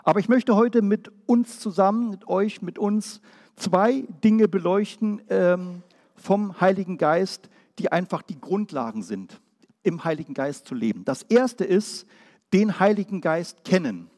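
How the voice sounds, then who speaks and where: A man from Berlin preaching a Pentecostal sermon, firing off rhetorical questions and then dropping quiet and low. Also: lively intonation, a little slow, big-room sound. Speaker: pastor.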